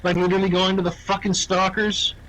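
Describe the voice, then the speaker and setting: A man's voice: high-pitched, little pitch movement, fairly quick, a bit bright, rough recording. A man chatting casually during game roleplay, speaking bluntly with plenty of swearing. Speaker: roleplay gamer.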